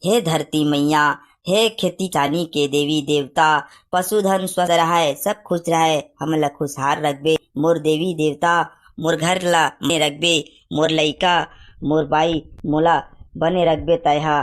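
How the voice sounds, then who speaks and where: A woman's voice: low voice, steady tone, measured pace. A woman voicing an irritable, devout village farmer father in a cartoon comedy, with a Chhattisgarhi accent. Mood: prayerful.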